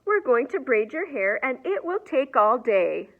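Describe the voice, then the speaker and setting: A woman voicing an eager, bossy little girl in a children's storybook, loud and insistent. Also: high-pitched, very animated, a little slow, narrow, tinny audio, voice pushed up, full character voice. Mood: eager, determined.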